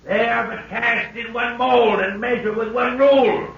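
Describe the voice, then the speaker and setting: A high, slow ancient herald. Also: male voice, steady tone, creaky, a bit bright, full character voice. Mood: exhorting. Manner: archaic, declaiming and ceremonious. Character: solemn male herald of an ancient rock city. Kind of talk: 1930s radio drama acting. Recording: roomy, distant, dull old radio recording.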